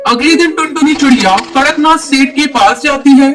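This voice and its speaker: A man's voice: very high-pitched, normal speed, recorded from a distance. A man narrating a scripted moral story for children.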